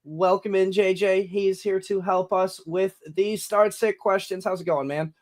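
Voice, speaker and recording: Nasally guy host, kinda high voice, friendly podcast vibe. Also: fairly even intonation, unhurried. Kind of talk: casual podcast hosting.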